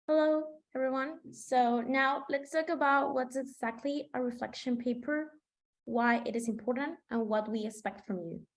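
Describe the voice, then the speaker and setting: A sixteen-year-old girl from Peru presenting slides in an online meeting in a measured, explanatory way. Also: high voice. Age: teenager, sixteen. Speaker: student presenter. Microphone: video call.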